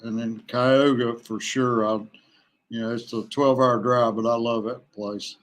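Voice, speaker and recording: Halting man chatting casually. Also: mid-range voice, little pitch movement. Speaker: guest, veteran kayak angler. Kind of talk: casual podcast chat.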